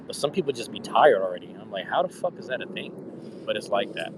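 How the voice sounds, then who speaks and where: Slangy, low-voiced man in his thirties. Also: very animated, quick pace, heavy background noise. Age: 30s. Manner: slangy, profane, rambling. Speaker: streamer. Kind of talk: casual live-stream chat with viewers.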